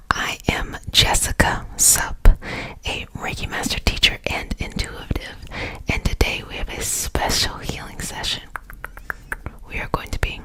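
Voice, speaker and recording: A young woman whispering softly and affectionately as a Reiki teacher guiding a relaxing healing session. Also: rough recording. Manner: soft whisper throughout, affectionate and soothing.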